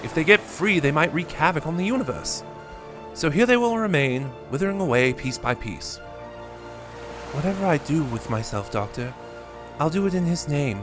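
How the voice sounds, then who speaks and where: A man doing earnest young Mal, high-pitched. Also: lively intonation, moderate pace, music in the background, lightly acted. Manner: earnest, pitched well above the narration.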